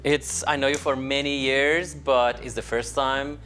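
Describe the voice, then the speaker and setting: A man's voice: medium pitch, little pitch movement, measured pace. A man hosting a design show, presenting and interviewing in an effusive, complimentary way. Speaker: TV show host.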